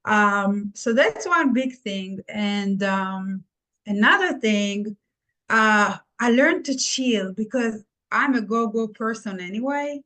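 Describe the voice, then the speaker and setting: A woman's voice: medium pitch, lively intonation, slow, a bit bright, rough recording. A middle-aged woman from Israel with an Israeli accent, chatting candidly and casually as an interview guest. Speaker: podcast guest, nurse practitioner.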